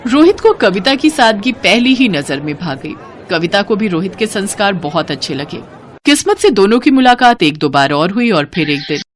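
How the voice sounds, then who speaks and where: A woman narrates a scripted cartoon tale as storyteller. Her voice sits at a medium pitch, with faint crowd ambience and noise behind it. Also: very animated, normal speed.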